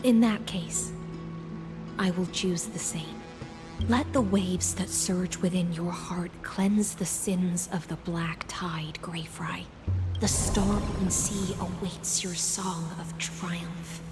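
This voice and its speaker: Measured, loyal lady knight. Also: lowish voice, fairly even intonation, in a large hall. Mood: solemn. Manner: formal, measured. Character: loyal, courteous woman knight of the sea. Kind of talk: dramatic anime game acting. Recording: faint background music.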